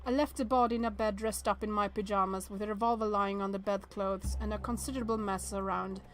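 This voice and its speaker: A woman's voice: mid-range voice, steady tone, fast talker, a little hiss or hum. A woman reading visual novel text aloud with casual commentary, reacting aloud and stumbling now and then over words.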